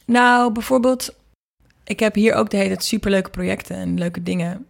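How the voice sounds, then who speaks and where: A woman's voice: mid-range voice, normal speed, vocal fry. A woman chatting casually in an interview, with an informal, rambling way of talking. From Netherlands. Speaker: singer and producer being interviewed.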